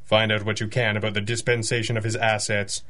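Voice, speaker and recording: A man playing a composed, commanding gentleman detective in a 1930s-style radio drama, crisp and dryly witty. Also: lowish voice, little pitch movement, fairly quick. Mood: brisk, dry.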